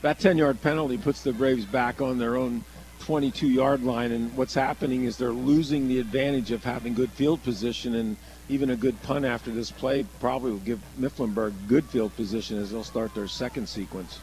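A man giving conversational color commentary on live radio football, explaining plays in a halting way and often restarting his phrases. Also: medium pitch, steady tone, a little mellow, poor audio.

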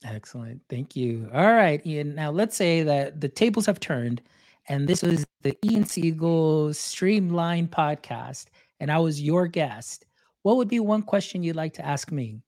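A man's voice: a little high, lively intonation, a little slow, darkish tone, dry recording. A man hosting a podcast, a native Spanish speaker, talking in a reflective, storytelling way with many fillers. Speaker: podcast host. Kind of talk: casual interview chat.

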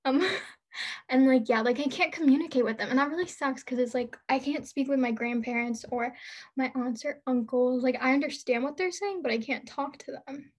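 A woman's voice: high-pitched, normal speed. A chatty teenage girl in casual podcast talk, laughing and filler-heavy. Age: teens. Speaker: podcast co-host. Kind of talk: casual chat.

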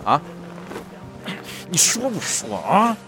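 An actor playing a brash, hot-headed male high-school student in a modern audio drama, slangy and blustering. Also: huge pitch swings, slow, music in the background, full character voice, low-quality recording. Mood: indignant teasing.

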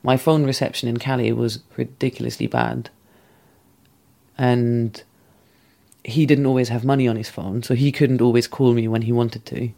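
A man calmly and candidly recounting a past relationship in a thoughtful, measured way. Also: mid-range voice, gravelly. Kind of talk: reflective personal storytelling.

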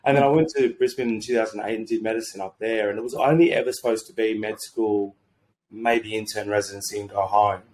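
A slightly croaky Australian man talking in a rambling, informal, mildly sweary way as a podcast guest. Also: on the low side, steady tone, a little fast, a little mellow, low-quality recording. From Sydney, Australia. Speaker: podcast guest, medical doctor and entrepreneur. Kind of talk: casual conversational interview.

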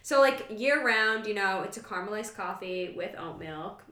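A woman's voice: medium pitch, animated, moderate pace, bright, forceful tone. Chatty young woman with a faint Boston accent. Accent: light Boston. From Boston area, US. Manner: chatty, laughs often. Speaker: podcast guest, runner. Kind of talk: casual chat. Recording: roomy echo.

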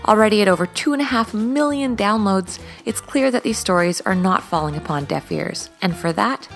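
Woman host reading her show intro, bouncy pitch, soft music under. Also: expressive, moderate pace. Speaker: podcast host. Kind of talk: scripted intro and interview.

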